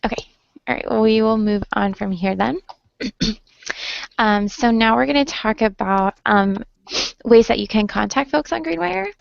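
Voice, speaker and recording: A woman's voice: a little high, a little slow, gravelly, pauses often, no room sound. A young woman leading an online screen-share training, friendly, with many "um" fillers, heard over a web call. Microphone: computer mic on a video call. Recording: dull lo-fi web call audio.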